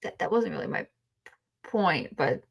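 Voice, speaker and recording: A woman's voice: lowish voice, lively intonation, a little slow, creaky, breathy edge, dull audio. A woman co-hosting a casual review podcast, giving opinions in a blunt, insistent way. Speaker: podcast co-host.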